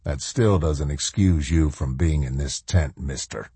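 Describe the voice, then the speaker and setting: A man voices a hostile captain, low and dark in tone. He is a controlled disciplinarian who speaks curtly and coldly, with threat in his words, in a dry audiobook recording. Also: a little slow, slightly rough, dry recording, heavily acted. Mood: cold anger. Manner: cold, curt, threatening.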